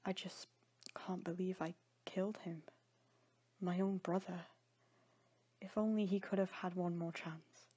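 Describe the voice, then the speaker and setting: A woman's voice: on the low side, little pitch movement, measured pace, pauses often, mellow, breathy edge. A woman voicing a remorseful, apologetic, loyal man in a dramatic audio story, with a hesitant manner. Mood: grieving remorse.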